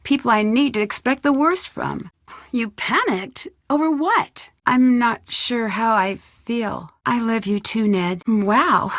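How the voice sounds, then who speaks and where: A young amateur sleuth for a video game. She sounds composed and caring, thinking things through in a slightly high voice. The recording is dry, with no room sound. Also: takes it slowly, a bit bright, no room sound. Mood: sincere. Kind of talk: video game voice acting, phone conversation.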